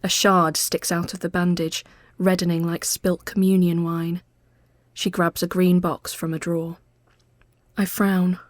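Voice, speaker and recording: Slow-paced woman narrator, dry close sound. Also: fairly low pitch, dry recording. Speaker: narrator reading a short story. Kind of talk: scripted literary narration, ghost story.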